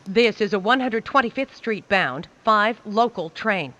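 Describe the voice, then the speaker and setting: A woman delivers scripted train announcements slowly and deliberately. Her intonation rises and falls clearly, and each phrase is carefully enunciated. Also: medium pitch, lively intonation, a bit bright, no room sound. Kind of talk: scripted automated transit announcements.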